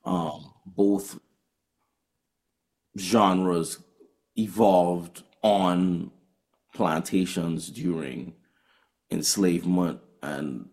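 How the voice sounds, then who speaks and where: A man's voice: low voice, steady tone, very slow, long pauses, a little mellow. A man with a Trinidadian accent, a touring jazz musician answering interview questions earnestly, often restarting his phrases.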